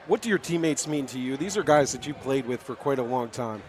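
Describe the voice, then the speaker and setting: A man's voice: mid-range voice, animated, fairly quick. An American man working as a radio sports announcer, hosting a live post-game interview and recap. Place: high school basketball gym. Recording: live broadcast with clear background noise.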